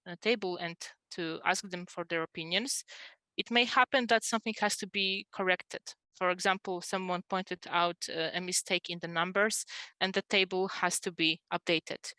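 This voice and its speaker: Bright-voiced woman explaining software. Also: fairly low pitch, smooth, no echo. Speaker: presenter demonstrating software. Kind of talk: webinar software demonstration, explanatory. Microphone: headset on a video call.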